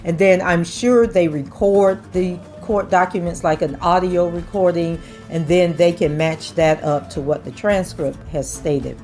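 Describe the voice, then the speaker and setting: Hoarse-voiced woman explaining court procedure. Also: on the low side, fairly even intonation, a little slow, hardly any pauses, a little mellow, faint music behind. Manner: explains step by step, many "and so" links. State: losing her voice. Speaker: commentator reading court transcripts. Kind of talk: casual commentary, reading aloud.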